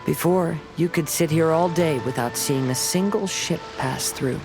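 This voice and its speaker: A man playing a gentle, reflective young wandering samurai in a video game, speaking in a measured way. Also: on the high side, low-quality recording. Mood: calm, reassuring. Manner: calm, measured, contemplative. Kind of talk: scripted video game dialogue. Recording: loud music under the voice.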